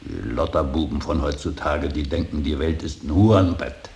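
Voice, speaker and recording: A stern older professor of painting with an extremely low, gravelly voice. He speaks earnestly and deliberately, hammering on words as if preaching duty. It is a radio play with tape hiss. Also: steady tone, darkish tone. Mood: emphatic, preaching. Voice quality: gravelly, very deep. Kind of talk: German radio play acting. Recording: hissy radio drama recording.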